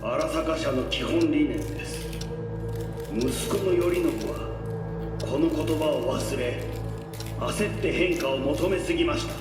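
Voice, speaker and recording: An actor playing an elderly corporate patriarch in a game, giving a formal speech in a measured, authoritative, didactic manner. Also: male voice, fairly high pitch, close to an everyday voice. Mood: solemn, authoritative. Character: elderly male corporate patriarch making a public statement. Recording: echoey hall, distant, music behind.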